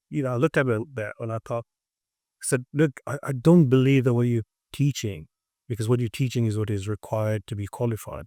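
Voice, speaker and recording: A man's voice: mid-range voice, dark tone, no room sound, clean studio sound. A man discussing his industry as a tech consultant, emphatic and often repeating words mid-sentence.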